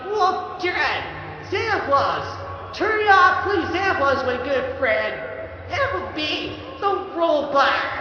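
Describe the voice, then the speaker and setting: A speaker run through a pitched-up cranky kitten voice filter, rambling and scolding with frequent shouts in a home recording. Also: very animated, takes it slowly, music in the background. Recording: echoey lo-fi room with noise.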